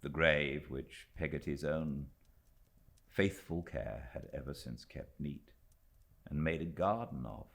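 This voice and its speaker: A British man narrates classic literature in an extremely low voice. There is a gravelly creak to it. His delivery is measured and expressive, with deliberate pauses that shape each phrase. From Britain. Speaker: audiobook narrator. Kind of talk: literary audiobook reading of a classic novel.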